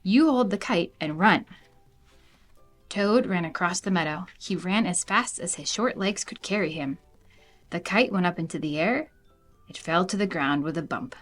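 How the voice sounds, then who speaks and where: Lady narrating a kids book, slightly low voice, very animated, soft music behind. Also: normal speed. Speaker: storybook reader. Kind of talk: animated children's storybook narration.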